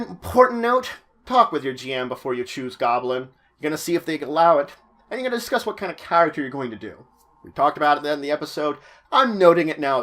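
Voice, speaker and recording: A man with a fairly high-pitched voice hosts an informal roleplaying guide. He explains things to viewers in a chatty way, leaning on key words for emphasis. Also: fairly bright tone. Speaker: host of a tabletop roleplaying guide series.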